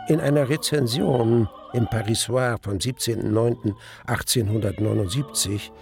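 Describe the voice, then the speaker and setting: An elderly man narrates a radio play in a deep-set, creaky voice. He is mournful and reflective, haunted by a lost love, and faint music runs behind his words. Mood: reflective, storytelling. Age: older. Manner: brooding, halting, reflective. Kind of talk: dramatic first-person radio play narration.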